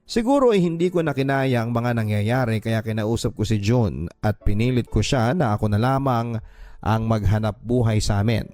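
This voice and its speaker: A man with a Filipino accent reading a first-person love story as a radio host, in a measured, sentimental dramatic narration. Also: mid-range voice, a little mellow. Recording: music bed behind the voice. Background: background music.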